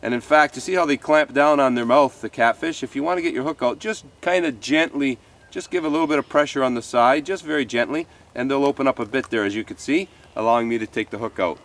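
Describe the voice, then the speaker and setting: A man's voice: mid-range voice, quick pace, fairly bright tone. A man in his fifties hosts an outdoor fishing show from a creek bank, speaking to the camera in a loose, folksy way. His voice is a little stuffy from a cold. He fills his talk with easy "uh"s and keeps calling his viewers "folks.". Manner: chatty and folksy, lots of "uh" and "folks".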